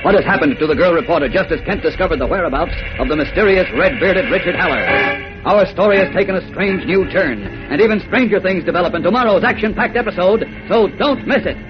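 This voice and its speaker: A man's voice: sits high, animated, fast. An American man narrating a radio serial in dramatic 1940s style. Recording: dull old radio broadcast. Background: dramatic music.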